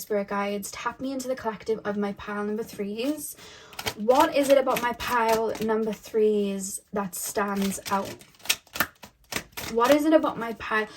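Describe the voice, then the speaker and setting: A woman giving a casual tarot reading to camera at home, chatty and musing aloud, repeating her questions to spirit guides. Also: a little high. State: voice going a little deep. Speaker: tarot reader. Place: indoors at home.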